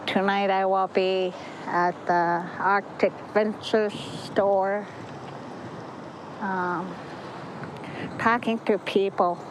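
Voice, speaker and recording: A woman speaking up for her community in an archival news clip, with slow and measured delivery. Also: on the low side, noisy background. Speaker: community advocate.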